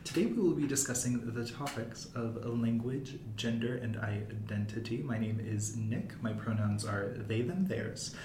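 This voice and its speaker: Young man, podcast host, relaxed chatty delivery, echoey room, faint hiss. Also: mid-range voice, normal speed, few pauses. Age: young adult. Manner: friendly, many fillers. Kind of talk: casual student podcast chat. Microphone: wearing headphones at a mic.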